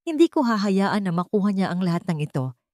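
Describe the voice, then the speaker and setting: A greedy, scheming villain played by a woman narrator gives threatening orders in an audio drama. The delivery swings widely in pitch and sounds dry and close. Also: sing-song, fairly quick, darkish tone, dry recording, natural voice. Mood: furious. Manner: commanding, threatening. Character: angry, menacing scheming man, greedy villain. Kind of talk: dramatic audio-story dialogue.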